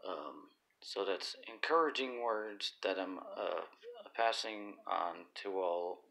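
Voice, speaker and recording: A raspy, gravelly man talks at a slow, unhurried pace on his own stream. His voice sits a little low, and he stops often with fillers and restarts. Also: bright, forceful tone, poor audio. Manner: halting, many fillers and restarts. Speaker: YouTuber. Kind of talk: casual livestream talk.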